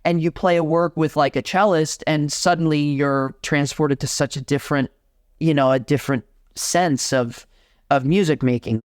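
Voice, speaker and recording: Man, young adult, from Miami, smooth clear voice, slightly high, dry studio sound. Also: normal speed, dry recording. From Miami, Florida. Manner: animated, talks with many restarts. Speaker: organist guest. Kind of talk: casual interview conversation.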